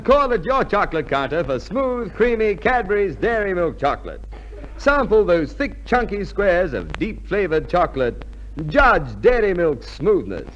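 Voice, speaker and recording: Animated, high-pitched 1950s male radio announcer. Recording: dull old radio, music behind.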